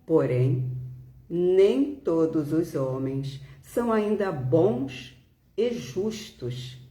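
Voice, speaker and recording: Brazilian female devotional speaker, mellow, extremely unhurried delivery with pauses, reading and reflecting. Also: lowish voice, expressive, echoey room. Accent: Brazilian Portuguese. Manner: deliberate, halting phrases with pauses. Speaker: religious speaker leading a spiritist devotional talk. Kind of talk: devotional talk with reading aloud.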